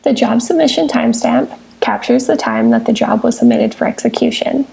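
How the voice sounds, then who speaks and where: A woman with a somewhat high-pitched yet mellow voice walks through software steps as a tutorial presenter. Her tone is heavily creaky, and she reads in a scripted, instructional way with measured phrasing. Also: low-quality recording. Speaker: software tutorial presenter, technical support engineer. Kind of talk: scripted step-by-step screencast narration.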